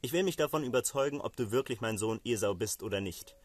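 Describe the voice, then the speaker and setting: A man's voice: medium pitch, fast, slightly rough, dry recording, close to an everyday voice. Man reading as a solemn old patriarch father. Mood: wary.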